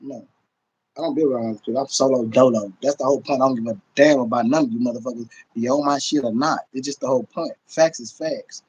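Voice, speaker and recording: A man's voice: mid-range voice, fairly even intonation, quick pace, a little mellow, slightly rough, lo-fi sound. A middle-aged American man on a casual livestream, talking bluntly and profanely with emphatic repetition, recorded on a phone at arm's length in a room at home. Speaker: livestream host. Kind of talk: casual livestream rant to viewers. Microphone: phone or webcam at arm's length.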